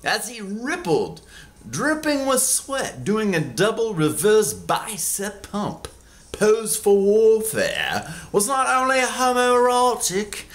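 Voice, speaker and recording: A man in his forties from Kansas reads his memoir aloud into a close webcam in a small room. His voice sits high and leaps around freely, and he takes his time. He delivers the lines with sardonic, theatrical bite, breaking into loud outbursts for emphasis. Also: very animated, takes it slowly. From Kansas, USA. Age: 40s. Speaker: author reading his own book. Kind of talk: dramatic memoir reading. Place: small indoor room at home.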